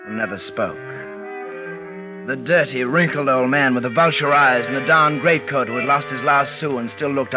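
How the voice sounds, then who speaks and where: An actor playing a restless young English gentleman who narrates in a measured, literary, dramatic radio style. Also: medium pitch, natural voice. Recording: muffled vintage radio recording. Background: music.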